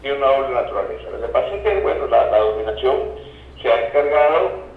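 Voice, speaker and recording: A man's voice: medium pitch, expressive, quick pace. A man giving explanatory interview answers as a guest, hesitating and repeating words as he goes. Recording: muffled, distant, echoey remote line, faint noise.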